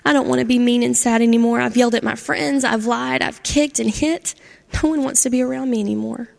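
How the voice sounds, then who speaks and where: A woman's voice: on the high side, steady tone, fairly quick, vocal fry. A woman voicing a young boy in a children's story who talks in an earnest, pleading way. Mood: sad pleading. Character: sad remorseful young boy gardener. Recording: long hall reverb.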